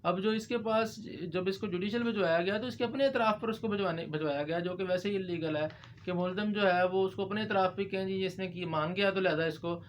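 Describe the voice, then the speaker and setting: Male lawyer-presenter, Pakistani accent, fairly high voice, explanatory delivery with English legal terms, slightly noisy recording. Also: steady tone, fairly quick. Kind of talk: informal legal explanation to viewers.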